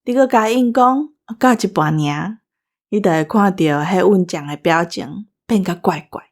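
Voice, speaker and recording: A woman's voice: lowish voice, a little slow. A woman with a Taiwanese accent hosting a show, telling anecdotes conversationally. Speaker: show host.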